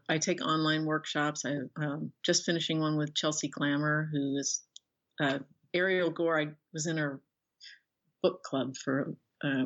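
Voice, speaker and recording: A woman speaking casually as a guest author in an interview, thinking aloud in a rambling, self-effacing way. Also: low voice. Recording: dull lo-fi call audio.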